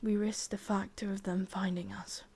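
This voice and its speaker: A woman with an English accent playing a sadistic, possessive witch in a whispered, breathy ASMR roleplay, commanding yet doting, with deliberate, intimate delivery. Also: mid-range voice, little pitch movement, darkish tone. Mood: coaxing, controlling.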